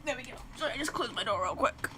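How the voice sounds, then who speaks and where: High-pitched, animated woman livestreaming a game. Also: rapid-fire, background noise. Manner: chatty, reacting aloud and talking to chat. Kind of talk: casual live game commentary.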